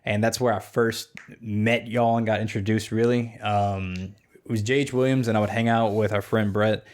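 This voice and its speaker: A man's voice: on the low side, steady tone, normal speed. Rambling young man hosting a podcast. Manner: rambling, lots of fillers and restarts. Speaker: podcast host. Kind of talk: casual podcast chat.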